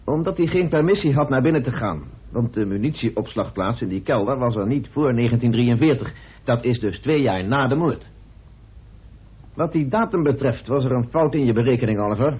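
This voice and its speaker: Radio-play sleuth: very husky, lively pitch, deliberate and accusing, with weighty pauses. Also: male voice. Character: methodical male amateur detective confronting suspects, deliberate and insistent. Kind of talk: radio play acting in Dutch. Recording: muffled old radio drama recording.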